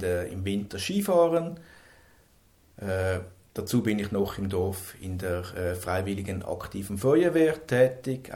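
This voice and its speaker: A Swiss man in his fifties talks in a calm, measured way with a lowish, mellow voice. He sometimes repeats words before going on. The room adds a noticeable echo. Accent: Swiss German. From Switzerland. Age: 50s. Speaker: interview guest. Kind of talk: personal interview.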